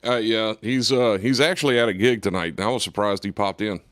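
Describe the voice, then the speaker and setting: Deep-voiced man, relaxed jokey radio co-host, dry close mic. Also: fairly quick, no echo. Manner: laid-back, joking. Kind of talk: casual radio chat.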